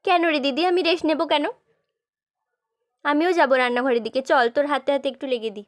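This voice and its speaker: Lady doing little sister doll in a toy story, really high voice, eager n helpful. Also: steady tone, a little fast, natural voice. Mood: keen, insistent. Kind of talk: doll-play storytelling dialogue.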